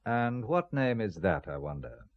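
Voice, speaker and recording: A dry-witted amateur spy in an audio thriller. His medium-pitched voice rises and falls freely, recorded close and dry. He is self-possessed, with a wry, needling edge. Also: sing-song, measured pace, no echo, lo-fi sound. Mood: probing.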